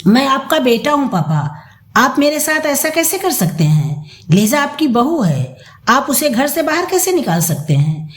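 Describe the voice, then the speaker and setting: A woman's voice: high voice, sing-song, measured pace, pitched above the performer's own voice. A woman voicing a shameless, entitled grown son with a brazen, irritated manner in an audio story. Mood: indignant, shameless. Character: shameless, entitled adult son demanding his inheritance. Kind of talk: dramatised audio story reading. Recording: roomy echo.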